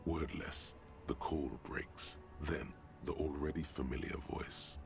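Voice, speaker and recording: An actor voicing a grave, wry male inner-voice narrator for a game, measured and dry. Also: fairly even intonation, exaggerated voice. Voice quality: deep gravelly. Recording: game audio with clear music.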